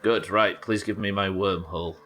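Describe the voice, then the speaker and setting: Croaky British game streamer, low voice, chatty and sweary, faint music behind. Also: male voice, steady tone, moderate pace, fairly bright tone. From United Kingdom. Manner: chatty, swears, reads chat aloud. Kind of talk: casual gameplay chat.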